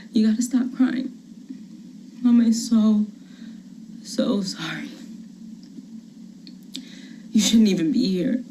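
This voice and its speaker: An actress playing a desperate young mother who softly pleads with her small son to put down a gun, then turns angry, acting a monologue over a video call. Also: medium pitch, slight background noise, close to an everyday voice, poor audio. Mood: tender remorse. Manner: shifts from soft pleading to angry threats. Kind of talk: acted dramatic monologue.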